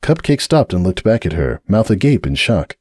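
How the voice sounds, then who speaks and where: A man with a low voice reads a dramatic story aloud with measured, expressive delivery. His pitch rises and falls freely as he narrates, and the sound is dry and close with no room around it. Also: slightly rough, no echo. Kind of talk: dramatic audiobook reading.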